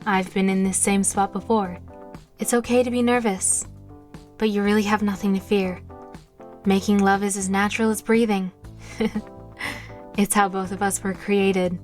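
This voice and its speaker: An actress playing a confident, tender witch who mentors with soothing, measured words in an audio drama. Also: mid-range voice, level tone, lightly acted. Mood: reassuring. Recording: music playing behind. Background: music.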